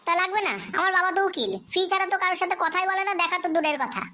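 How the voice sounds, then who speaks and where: Silly cartoon schoolboy: squeaky high pitch, very quick tongue, cheeky literal-minded comebacks. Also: bright, forceful tone. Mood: argumentative. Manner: cheeky, playful backtalk. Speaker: pupil answering a teacher. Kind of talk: comedy cartoon dialogue.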